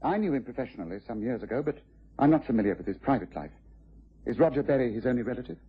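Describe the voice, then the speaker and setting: A shrewd gentleman detective with an English accent, talking quickly in a very husky voice. He is precise, courteous and in command, in an old radio drama with muffled sound. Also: mid-range voice, expressive. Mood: inquisitive, brisk. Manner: precise, courteous, authoritative, questioning. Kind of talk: vintage radio drama acting.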